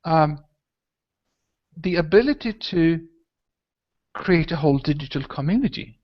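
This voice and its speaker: A man's voice: a little high, animated, a little slow. A man whose first language is Swedish hosts a casual online hangout, rambling and tagging his points with "right?" and "I mean". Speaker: host. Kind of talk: casual video hangout chat. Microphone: video call. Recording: lo-fi video call audio.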